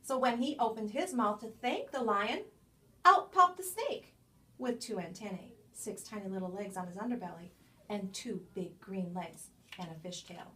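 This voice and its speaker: Recorded a little way from the microphone, a woman with a mid-pitched voice reads a children's picture book aloud. She tells it expressively, leaning on key words and pausing for drama. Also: fairly bright tone. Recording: distant microphone.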